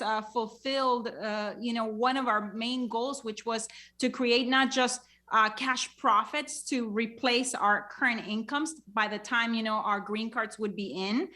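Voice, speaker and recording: A woman's voice: on the high side, bright, forceful tone. A woman from Honduras, a guest on a podcast, telling her story in an easygoing way with lots of fillers. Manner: many fillers like um and you know. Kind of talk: casual interview storytelling.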